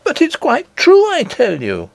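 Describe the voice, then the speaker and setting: Man putting on an eager, high-pitched little princess girl. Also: huge pitch swings, unhurried, creaky, no room sound, heavily acted. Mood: indignant, upset. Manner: eager, insistent, childlike. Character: earnest, indignant little princess girl of about eight.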